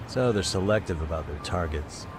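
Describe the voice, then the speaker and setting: An actor playing a calm, modest but tough male detective in a video game cutscene. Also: low voice, a little fast, noisy background, close to an everyday voice. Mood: calm, understated.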